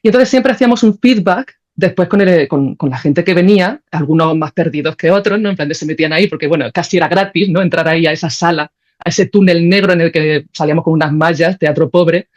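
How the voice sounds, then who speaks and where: A woman's voice: lowish voice, quick pace, darkish tone. A woman with an accent from Spain talking reflectively and informally in an interview, thinking aloud and often checking in with little tag questions. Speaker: podcast guest, composer and philosopher. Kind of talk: spontaneous, reflective interview conversation.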